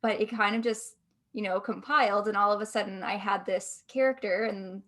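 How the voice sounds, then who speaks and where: Woman reflecting casually, medium-pitched voice. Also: steady tone, normal speed, fairly bright tone. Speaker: voice actor in an audio drama.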